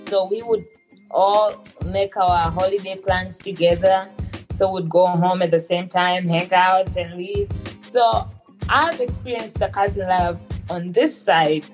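A young woman hosting a podcast, chatting casually and candidly about personal matters. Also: on the low side. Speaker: podcast host. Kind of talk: casual chat.

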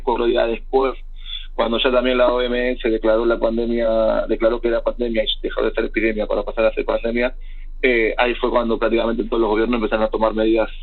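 This is a young Argentine man with a slightly husky voice, telling his story as a guest on a remote interview. He wanders through his thoughts with many fillers and restarts. The sound is thin and tinny, like a phone line. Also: mid-range voice, level tone, a little fast. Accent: Argentine Spanish.

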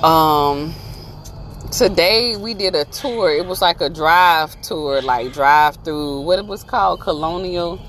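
Woman in her 30s, laid-back vlog chatter from the car, kinda low voice, laughs a lot. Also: slow, fairly bright tone, slight background noise. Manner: chatty, laughs often, Southern-style casual speech. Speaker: vlogger. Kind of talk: casual travel vlog chat. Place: in a moving car.

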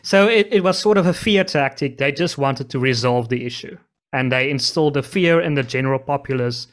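A man's voice: mid-range voice, a little fast. A man interviewing a guest, asking probing questions and summarizing answers.

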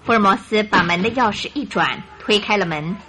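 A woman's voice: mid-range voice, fairly quick. A woman narrating a Chinese radio drama in a scripted, dramatic storytelling style.

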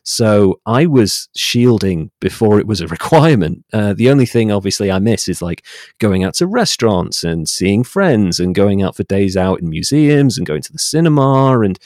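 A British man speaks in a fairly low voice, explaining things in an articulate, measured way. He sounds like an interview guest answering reflectively, in a very dry recording with no room sound. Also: very animated, a little mellow, no room sound. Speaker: interview guest, voice-over artist and writer.

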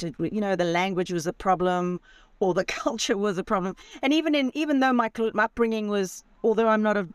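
A woman's voice: medium pitch, clean tone, no echo. Chatty woman in her sixties. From Zimbabwe. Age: 60s. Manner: chatty, self-deprecating, lively storyteller. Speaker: guest, author and van traveller. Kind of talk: casual storytelling interview. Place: in her campervan.

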